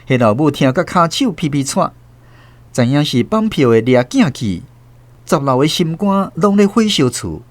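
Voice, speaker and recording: A man's voice: a little high, big pitch swings, moderate pace, no room sound. A man with a Taiwanese accent narrating a radio drama in a dramatic, scripted storytelling style.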